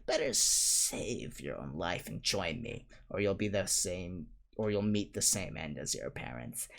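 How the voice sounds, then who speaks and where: A man reading aloud and voicing an evil male dark lord who hisses and snarls in a slow, low voice. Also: animated, vocal fry, heavily acted. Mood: menacing. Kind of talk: audiobook reading.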